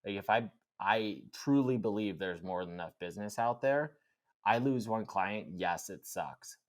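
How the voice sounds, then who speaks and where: A man with a very deep voice chats on a podcast in a relaxed, reflective way. He leans on "like" and "right?" as he builds his points, keeping things unhurried. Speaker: podcast co-host. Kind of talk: casual podcast conversation.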